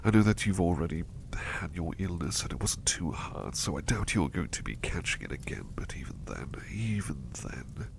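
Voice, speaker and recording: A man playing a gentle, devoted butler in a soft ASMR roleplay, bashful and effusively polite, repeating his phrases in a halting, hushed way. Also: sits low, expressive, creaky. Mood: drowsy, tender. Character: gentle devoted male butler, bashful, eager to please, doting.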